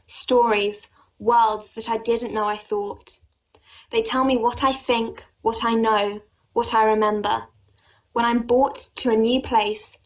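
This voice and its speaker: A woman reading her prepared conference paper aloud in a flat, even way. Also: a little high, vocal fry. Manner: flat, even reading with a slow quoted passage. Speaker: conference speaker. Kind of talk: reading a prepared academic talk. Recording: dull, distant audio from far off the mic.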